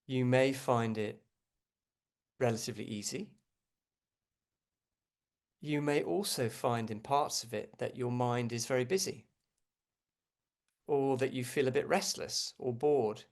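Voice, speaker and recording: Gentle man guiding meditation, creaky voice. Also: mid-range voice. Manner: gentle, unhurried, frequent pauses. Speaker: meditation teacher. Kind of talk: guided meditation, unscripted.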